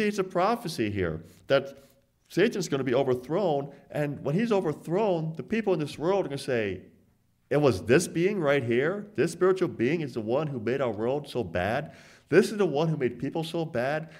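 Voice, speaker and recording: An earnest man in middle age delivers a short sermon at a church lectern. He slows down to read scripture with weight and then speeds up to explain it with emphatic stresses. His voice has an ordinary middle pitch and carries into a lectern microphone. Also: darkish tone. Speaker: church speaker. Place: church hall, standing at a lectern.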